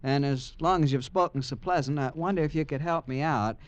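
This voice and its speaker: A man's voice: a little high, fairly quick, close to an everyday voice. A man playing a hesitant, folksy country farmer. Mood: folksy plain. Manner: hesitant, folksy, full of uh's. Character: plain, good-natured male country farmer with toothache. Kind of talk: 1940s radio acting. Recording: dull old radio recording.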